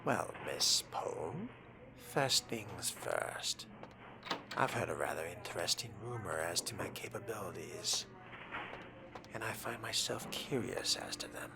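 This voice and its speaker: A man's voice: low-pitched, animated, measured pace, creaky, background noise. A man role-playing through a game in character, reading and commenting in a formal, arch, often murmured way. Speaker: game player narrating in character.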